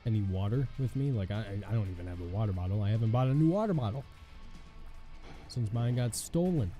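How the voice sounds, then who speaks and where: A young man with a hoarse voice commenting casually on his own gameplay, thinking aloud with a wry tone and muttering as he reads. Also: lowish voice, lively intonation, fairly quick, warm tone, faint music behind. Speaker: gaming channel host. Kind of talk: casual let's play commentary.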